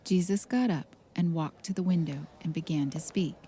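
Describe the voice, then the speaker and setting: A woman reads as a narrator of a satirical tale. Her voice is low, dark and husky, and she delivers the scripted lines plainly. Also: moderate pace. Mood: matter-of-fact. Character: plain, unhurried storybook-style female narrator.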